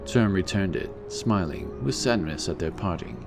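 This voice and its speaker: A man's voice: low voice, animated, normal speed, creaky, over music. A man giving scripted narration for a fantasy audiobook, in the role of storyteller.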